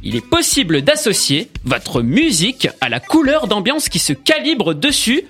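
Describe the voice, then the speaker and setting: Male radio tech columnist, high-pitched and lively, chatty and joking, faint music underneath. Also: normal speed, breathy edge. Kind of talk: radio tech segment with banter.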